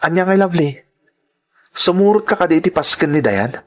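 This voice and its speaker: Man acting a coaxing young boyfriend, very lively pitch. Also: brisk, lightly acted. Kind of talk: Ilocano radio-style drama acting.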